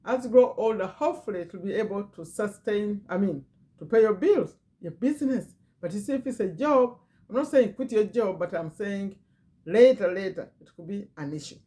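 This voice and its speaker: A woman's voice: mid-range voice, expressive, normal speed, warm tone. Woman with a foreign accent, chatty. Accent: non-native English. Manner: chatty, repeats phrases, reads a list aloud. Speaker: business owner and channel host. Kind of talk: casual talk to camera. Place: Virginia, USA.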